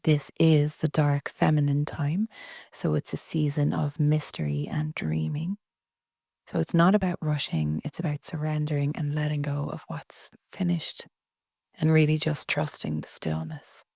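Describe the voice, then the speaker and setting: A woman leading a guided relaxation meditation in a soft, breathy, soothing way with long pauses. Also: sits low, flat delivery, no room sound, studio quality. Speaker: yoga nidra meditation guide.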